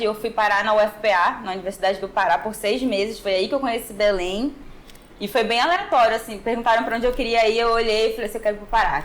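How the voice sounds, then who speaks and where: A young woman from Manaus with a northern Brazilian accent, talking informally and reflectively as a podcast guest about her own experience. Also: fairly high pitch. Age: young adult. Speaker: podcast guest, musical artist. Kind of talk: conversational personal storytelling in an interview. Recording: clear background noise.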